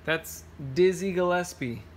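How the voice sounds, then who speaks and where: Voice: mid-range voice, measured pace, a little hiss or hum. A person reading a picture book aloud to children, playful, with singsong rhymes, scat sounds and casual explanations.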